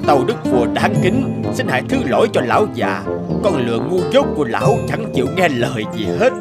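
A man's voice: mid-range voice, very animated, a little fast, over loud music, close to an everyday voice. A man playing a humble, grumbling old peasant in a cartoon, speaking deferentially. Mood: humbly pleading.

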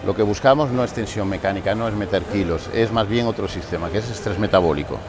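Lower-voiced man coaching in a gym. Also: fast talker. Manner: explanatory, checks in with listeners often. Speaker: fitness coach. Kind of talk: gym exercise instruction. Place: large indoor gym. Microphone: lapel mic. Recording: music playing clearly behind.

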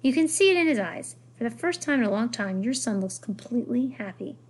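A woman's voice: fairly high pitch, expressive, fairly quick, breathy edge. A woman voicing a blunt, imperious old French grandmother from a children's novel, scolding with emphatic, dismissive repetition. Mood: firm, insistent. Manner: emphatic, dismissive, repeats words.

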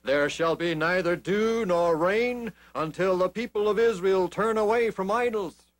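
A man's voice: high-pitched, unhurried, voice pushed up. Man acting a stern, emphatic prophet. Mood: stern proclamation. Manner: emphatic, declamatory pronouncement. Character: stern, solemn male prophet of God.

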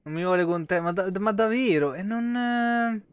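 A man streams a game in a fairly high, smooth voice. He talks colloquially, grumbles and swears freely, recorded close and dry. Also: moderate pace, no echo. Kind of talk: casual live game commentary. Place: small indoor room.

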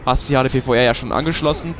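A man's voice: mid-range voice, very fast, background noise. A young man, giving casual gameplay commentary, swearing and ranting when he loses. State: has a cold. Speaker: let's play host.

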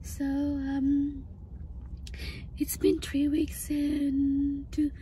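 Woman, thirties, hoarse and breathy, very dark muted tone, flat intonation, upset, halting, casual vlog in a car. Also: high voice. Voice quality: hoarse, breathy, often breaking into whisper. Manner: halting, hesitant. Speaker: vlogger. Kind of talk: personal health update vlog, casual talk to camera. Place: inside a parked car. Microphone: phone at arm's length. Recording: faint background noise in car.

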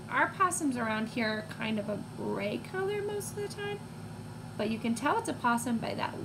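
A woman in her twenties reading a picture book to young children, expressive and teacherly, pausing to put questions to listeners. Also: high-pitched. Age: 20s. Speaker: read-aloud storyteller for young children. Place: small room at home, indoors. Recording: clear background noise, home recording.

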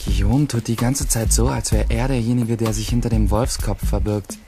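A man's voice: fairly low pitch, fairly even intonation, normal speed, music in the background, close to an everyday voice. A man playing a resentful, aloof teenage boy who narrates a radio drama in terse, sardonic sentences. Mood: bitter.

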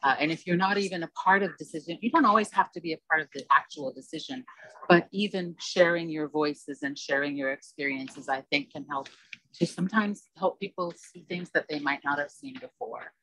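A woman's voice: low voice, a little fast, sharp tone, no echo, poor audio. A woman on a conference panel, talking in an earnest, meandering way into a handheld mic on stage. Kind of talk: panel discussion.

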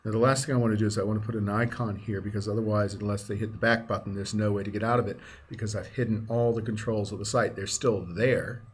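A man's voice: on the low side, fairly even intonation, fast talker, few pauses. A man presenting a casual screencast tutorial, explaining things step by step as he goes. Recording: clear background noise, keyboard typing and clicks.

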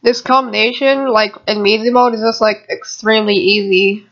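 A gamer talks casually over their own gameplay in a plain, mid-pitched voice. The commentary rambles, with muttered asides to the viewers. It sounds dull and lo-fi, like a home computer microphone. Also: steady tone, moderate pace, fairly bright tone.

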